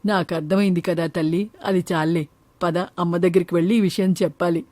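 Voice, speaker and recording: A woman voicing a poor, gentle, humble farmer father in an animated moral story. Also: fairly low pitch, brisk, no room sound, voice pushed down, natural voice. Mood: tender.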